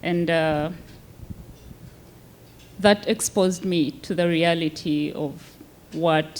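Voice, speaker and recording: A woman from Uganda speaking as a panelist in a measured, deliberate way. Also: fairly low pitch, darkish tone, a little hiss or hum. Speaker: panelist, health fellow. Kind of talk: panel discussion remarks. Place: luncheon event hall.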